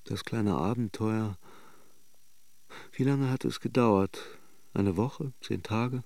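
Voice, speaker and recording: An actor playing a brooding, introspective lover in a radio drama, speaking measured, self-questioning lines. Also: male voice, lowish voice, darkish tone, no room sound. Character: brooding male narrator-lover arguing with his own doubts. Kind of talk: literary radio drama monologue.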